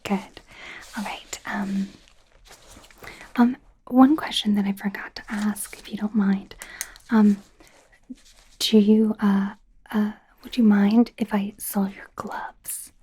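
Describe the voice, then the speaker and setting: A woman plays a friendly werewolf doctor in a whispered ASMR exam roleplay. Her voice is very breathy and husky, with a soft, mellow tone. She takes her time, rambling in an eager, awkward, hesitant way. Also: medium pitch, little pitch movement, slow, pauses often, rough recording. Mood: clinical, gentle.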